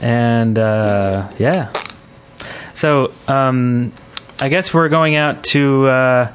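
A man hosting a podcast in a casual, halting way with frequent fillers. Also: medium pitch, a little hiss or hum. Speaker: podcast host.

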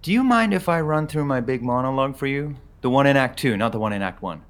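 An actor playing an eager, guileless young stage actor, earnest and solicitous, in comic audio drama. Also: male voice, medium pitch, animated, fast, lightly acted. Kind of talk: comedic audio drama dialogue.

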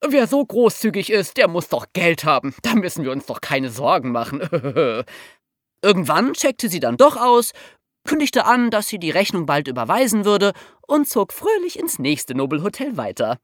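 A woman with a German accent tells a true-crime story as a podcast host, her pitch swinging widely as she goes. She keeps it casual, with wry asides and quick laughs. The sound is dry and close to the mic. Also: big pitch swings, no echo.

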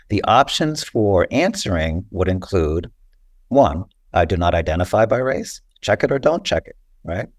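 A man with a very low voice talks as an interview guest in a dry, close-sounding recording. He explains step by step in a deliberate, didactic manner, often pausing to check agreement. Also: no echo. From Costa Rica. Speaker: interview guest, social worker and writer.

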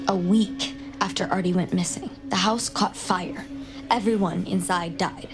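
A woman's voice: lowish voice, steady tone, measured pace, over music. A woman playing a driven, inquisitive young crime survivor in an audio drama, laying out facts with intent emphasis. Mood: intent, urgent. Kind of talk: naturalistic audio drama acting.

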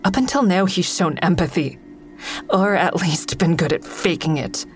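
A woman's voice: lowish voice, lively intonation, moderate pace, soft background music, lightly acted. An actress playing a defensive, sardonic woman comedian in an audio drama, wry and guarded, confiding her thoughts as narration. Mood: wounded. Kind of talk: full-cast audio drama acting with first-person narration.

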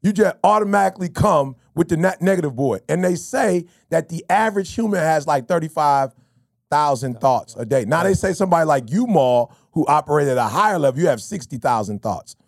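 A man, hosting a podcast in casual, animated talk full of slang and stories. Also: fairly high pitch, fairly quick, gravelly, no echo, studio quality. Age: 50s. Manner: animated, storytelling, slangy with bro and you feel me. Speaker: podcast host. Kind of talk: casual motivational chat.

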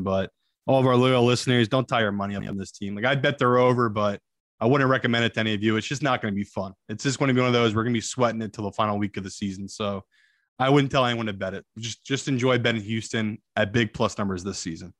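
A man's voice: lowish voice, fast talker, dry recording. A man giving casual, opinionated sports betting analysis as a co-host, informal and enthusiastic, repeating phrases for emphasis.

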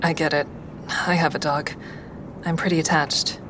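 A low female voice from an actress plays a crisis line counselor in an audio drama. She is measured and candid, consoling without sugarcoating. A clear rumbling noise sits behind her. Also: flat delivery, natural voice. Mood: gentle, sympathetic. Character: woman crisis hotline counselor, calm, candid, dog owner. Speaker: crisis line counselor and inner-monologue narrator. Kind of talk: audio drama acting with first-person asides.

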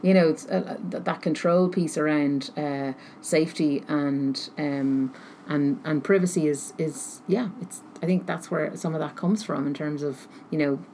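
A woman answering interview questions thoughtfully, pausing often as she searches for her words. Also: low voice, fairly even intonation, warm tone. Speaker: interview guest, technology researcher. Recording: noticeable background noise.

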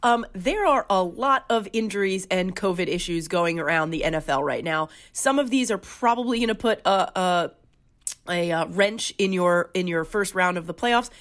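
A woman's voice: fairly low pitch, moderate pace, fairly bright tone. Nasal-voiced woman with a head cold. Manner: upbeat, laughs easily. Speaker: podcast co-host. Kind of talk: casual chat.

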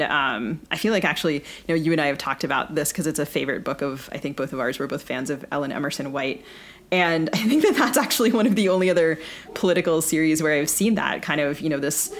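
A woman co-hosting a casual book podcast, speaking analytically in long, wordy thoughts. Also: sits low. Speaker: podcast co-host and fiction writer. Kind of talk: casual literary discussion.

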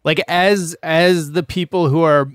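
A man's voice: a little high, fairly even intonation, measured pace, smooth. A man co-hosting a casual podcast, relaying a news story with many hesitations. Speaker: podcast co-host.

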